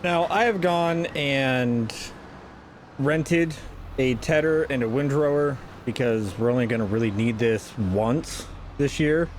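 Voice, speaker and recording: A man's voice: medium pitch, slow pace, a little hiss or hum. Rambling man with a stuffy nose. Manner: rambling, self-correcting, chatty. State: stuffy from a sinus infection. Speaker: gaming channel host. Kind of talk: casual gameplay commentary.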